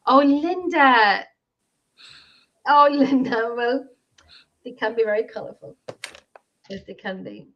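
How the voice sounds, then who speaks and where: A woman's voice: on the high side, takes it slowly, long pauses. Giggly Irish woman on a muffled call. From Ireland. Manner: giggly, chatty, self-deprecating. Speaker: podcast co-host. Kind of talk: casual live-stream chat. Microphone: home computer microphone on a video call. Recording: dull lo-fi online call audio.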